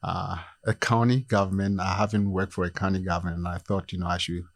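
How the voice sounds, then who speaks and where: A man from Ghana talking about his career in a relaxed interview, measured and pausing with many fillers. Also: deep voice, creaky, no echo. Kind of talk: casual interview chat.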